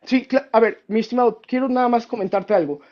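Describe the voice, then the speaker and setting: A man's voice: very high-pitched, fast talker. Mexican man arguing over a dull line. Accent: Mexican Spanish. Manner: interrupts, repeats words, patronizing "mi estimado". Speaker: debater claiming to be a historian. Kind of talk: heated debate on a call.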